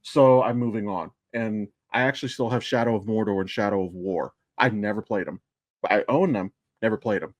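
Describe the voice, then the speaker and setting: A man's voice: lowish voice, a little fast, dry recording. A middle-aged man hosting a podcast in casual chat, rambling and swearing freely as he tells stories. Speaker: podcast host.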